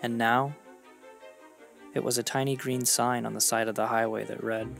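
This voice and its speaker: A man reading a reflective scripted travel voice-over in an earnest, measured way. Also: medium pitch, flat delivery, gravelly, music in the background. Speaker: vlogger narrating own travel story.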